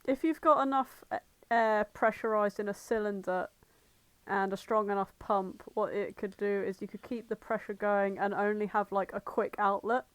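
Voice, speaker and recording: A woman co-hosting a podcast in casual banter, blunt, sweary and quick to argue. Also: mid-range voice, fairly even intonation, clear, resonant tone, no echo. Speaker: podcast co-host.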